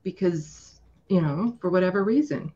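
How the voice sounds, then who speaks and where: A woman's voice: fairly low pitch, normal speed, warm tone, highs cut off. A woman guest speaking earnestly and emphatically in a casual political discussion, often acting out imagined quotes.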